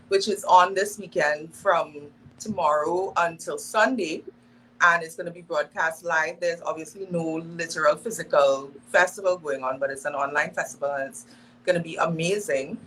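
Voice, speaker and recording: A woman's voice: fairly low pitch, moderate pace, fairly bright tone, slight background noise, low-quality recording. A woman, co-hosting a talk show and cheerfully promoting projects. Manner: upbeat, promotional. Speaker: co-host. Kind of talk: casual chat.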